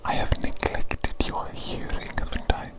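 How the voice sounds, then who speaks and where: A woman whispering an ASMR medical roleplay as a fussy doctor, softly repeating her phrases in a breathy, unvoiced voice. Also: measured pace. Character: fussy evil doctor examining a creature. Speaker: ASMR performer playing a doctor.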